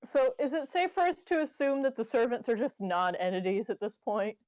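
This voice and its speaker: A woman's voice: a little high, sing-song, fairly quick, darkish tone, dry recording, poor audio. A woman chatting casually during a tabletop roleplaying game.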